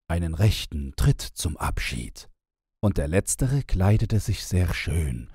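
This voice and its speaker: Very deep, husky male storyteller. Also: mellow, no room sound, studio quality. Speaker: audiobook narrator. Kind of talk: scripted fairy-tale narration, bedtime storytelling.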